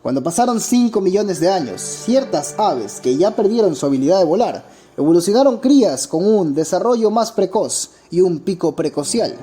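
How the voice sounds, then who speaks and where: Scripted documentary narrator, mellow timbre, dramatic storytelling, music behind. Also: mid-range voice. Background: background music.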